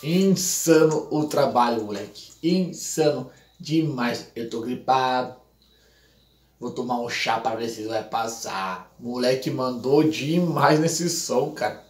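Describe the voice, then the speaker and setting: A Brazilian man hosting a react channel, talking fast and hyped with lots of slang. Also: mid-range voice, a little mellow. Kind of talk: hyped channel intro and song review. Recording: roomy echo.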